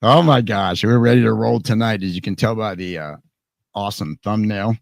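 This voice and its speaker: A man with a hoarse, tired voice hosts a live talk program. His tone sits low, and he rambles and jokes through restarts. The sound is dry and close, with no room behind him. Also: normal speed, dry recording. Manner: chatty, joking, rambling with restarts. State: hoarse, voice worn out. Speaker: radio program host. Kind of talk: casual live talk show chat.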